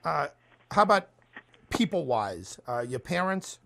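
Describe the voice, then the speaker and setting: Male radio host with halting questions. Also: a little high, very animated, creaky, sharp tone. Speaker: radio talk show host. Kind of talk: conversational interviewing.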